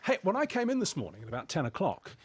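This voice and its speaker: A male radio host with a quick delivery and lively, swinging intonation. He chats and jokes his way through questions in a rambling, conversational style. The sound is dry and close, from a studio microphone. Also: fairly high pitch, slightly rough, no room sound. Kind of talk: chatty live radio interview.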